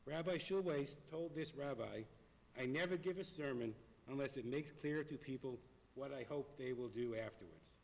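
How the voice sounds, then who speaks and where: A hoarse man delivering a prepared, earnest appeal to a congregation in a synagogue. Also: medium pitch, a little mellow. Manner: earnest, measured, reading from notes. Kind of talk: prepared speech. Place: synagogue sanctuary. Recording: long hall reverb.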